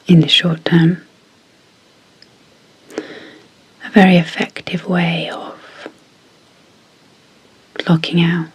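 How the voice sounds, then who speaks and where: A woman speaking in a soft, breathy whisper as an ASMR sleep hypnosis guide, deliberately and in short phrases with pauses. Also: fairly low pitch, rough recording. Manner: slow, deliberate, pausing between short phrases.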